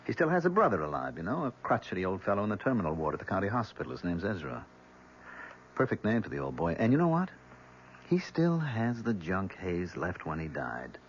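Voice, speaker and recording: A bitter, politely threatening man in a vintage radio play. He speaks deliberately and sardonically in a lowish voice. The audio is muffled with faint noise. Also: wildly swooping pitch. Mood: sardonic, accusing. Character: embittered younger man, coolly accusing, politely menacing visitor. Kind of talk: old-time radio drama acting. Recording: dull, humming old radio broadcast.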